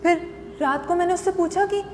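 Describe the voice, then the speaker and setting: A woman acting a dramatic monologue as a battered, unhinged wife who confesses to killing, pleading and halting, repeating her phrases. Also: very high voice. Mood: meek, pleading. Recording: long echoing hall reverb, faint noise.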